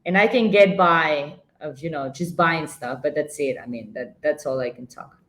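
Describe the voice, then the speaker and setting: A middle-aged woman from Ecuador whose first language is Spanish, answering interview questions in a hesitant, unhurried way. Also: deep voice, a bit bright, roomy sound, a little hiss or hum. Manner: hesitant, fillers and restarts, plain phrasing. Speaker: guest, edtech leader. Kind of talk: conversational interview.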